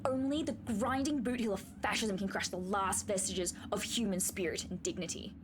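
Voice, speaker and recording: A woman's voice: mid-range voice, steady tone, normal speed, noisy background, natural voice. A woman playing a fierce, sardonic younger warhorse in a comic radio play, opinionated and argumentative.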